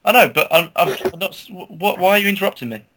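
A man's voice: high voice, animated, rapid-fire, background noise. A man co-hosting a gaming podcast in casual chat, hesitant and stammering but blunt. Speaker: podcast co-host.

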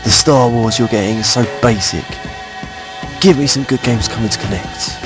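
A man's voice: medium pitch, normal speed, music in the background. A man co-hosting a podcast, chatting casually and often repeating words in stuttering bursts. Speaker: podcast co-host. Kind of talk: casual banter among friends, with a dramatic reading.

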